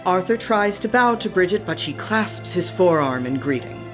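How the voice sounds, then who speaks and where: A woman's voice: lowish voice, animated, moderate pace. A woman narrating a radio play from a script, setting out each scene as storyteller. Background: music.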